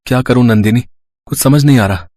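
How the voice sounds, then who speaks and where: A man's voice: medium pitch, a little fast, darkish tone, lightly acted. A man playing a kind, earnest poor village farmer and husband in a moral-story cartoon. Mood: worried.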